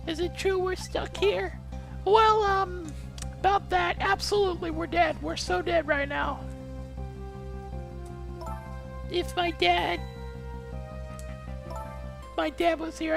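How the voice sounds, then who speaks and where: A woman voicing an excitable, dramatic young game heroine in a read-aloud over music. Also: very high voice, slow, vocal fry, pauses often, exaggerated voice. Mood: anxious. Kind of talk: game dialogue read-aloud in a let's play.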